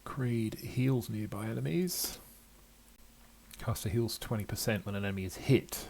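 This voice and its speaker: Older man with a creaky voice, gaming casually. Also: on the low side, measured pace, a little mellow, slight background noise, poor audio. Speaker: video game player and commentator. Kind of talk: casual low-key gameplay commentary.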